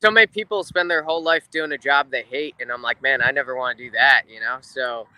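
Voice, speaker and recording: A man's voice: mid-range voice, fast talker, pushed and bright, smooth, low-quality recording. A man talking casually as an interview guest, a drummer explaining his craft. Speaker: guest, rock drummer and drum teacher.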